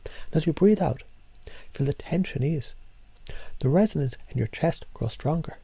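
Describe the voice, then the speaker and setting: Soft, husky man guiding voice exercises. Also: fairly high pitch, moderate pace, no echo. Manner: soft, calm, half-whispered delivery. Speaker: voice coach. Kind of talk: guided voice exercise, slow and hypnotic.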